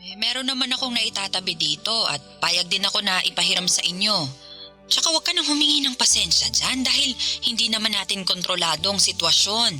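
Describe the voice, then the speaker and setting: An understanding middle-aged Filipino mother. The voice is strikingly bright and sharp-edged, yet her manner is comforting. It is radio drama, with music behind. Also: fairly low pitch.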